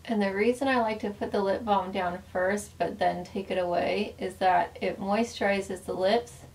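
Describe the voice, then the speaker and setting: Woman, makeup vlogger, gravelly cracking voice, losing her voice, chatty with fillers, slightly distant mic. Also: medium pitch, steady tone, moderate pace, a little mellow. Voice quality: voice cracks often. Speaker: beauty vlogger, former makeup artist. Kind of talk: casual makeup tutorial chat.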